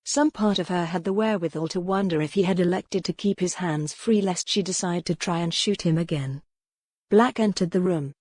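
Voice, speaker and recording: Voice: mid-range voice, darkish tone, no room sound, studio quality. A narrator reading a historical romance novel in scripted, dramatic storytelling style. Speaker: audiobook narrator.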